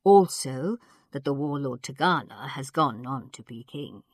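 A woman voicing a little old male emperor in a storybook, thin and high-pitched, querulous yet regal. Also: animated, a little slow, no echo, studio quality, heavily acted. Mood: gracious, regal. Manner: imperious, querulous, yelping with pain.

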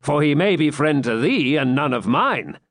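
A man voicing a dignified, probing knight in an audiobook, with formal, measured old-fashioned speech. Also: on the high side, sing-song, a bit bright, dry recording, clean studio sound, voice pushed up. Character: dignified, courteous, probing male champion knight. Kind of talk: audiobook character voice.

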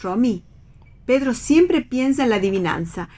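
A woman's voice: on the high side, animated, normal speed, dark tone. An actress playing a chatty, inquisitive and naive mother with old-fashioned, homely tastes in a radio play reading. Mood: chatty, fussing. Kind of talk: radio read theatre dialogue.

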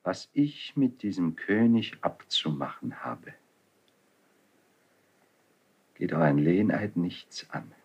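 An actor playing a passionate, defiant young Spanish prince stricken with grief, declaiming classical verse in a 1970s German radio drama. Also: male voice, sits low, big pitch swings, a little slow, creaky, darkish tone, a little hiss or hum, exaggerated voice. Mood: frenzied, defiant. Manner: declamatory, impassioned. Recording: dull 1970s radio studio recording.

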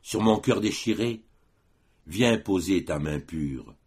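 A man reading poetry aloud as an audiobook reader, solemn and measured, pausing between phrases in a declamatory way. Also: deep voice, expressive, a little mellow, breathy edge.

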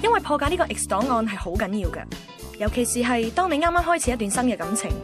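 A woman's voice: a little high, big pitch swings, quick pace, over music. A woman with a Hong Kong Cantonese accent giving scripted radio narration in a confiding, advisory manner. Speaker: narrator-host.

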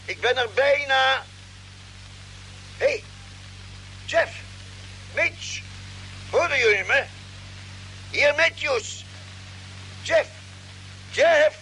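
A man playing a calm, caring spaceship doctor with a measured, deliberate way of explaining, in a vintage Dutch radio drama. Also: extremely high pitch, full character voice. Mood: urgent, calling out. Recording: noisy old radio drama recording.

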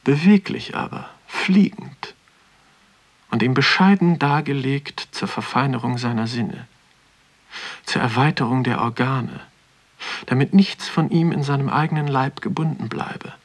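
Young man, husky voice, rapt literary monologue, radio play, deliberate phrasing. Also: mid-range voice. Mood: solemn, rapt. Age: young adult. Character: pensive, awestruck young man wandering a museum. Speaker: narrator and protagonist of a radio play.

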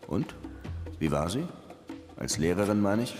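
A man's voice: low-pitched, expressive, unhurried, music in the background. An actor playing a streetwise, persistent police detective in a radio crime play, casual and probing in his questions. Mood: probing, matter-of-fact. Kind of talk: naturalistic German radio play dialogue.

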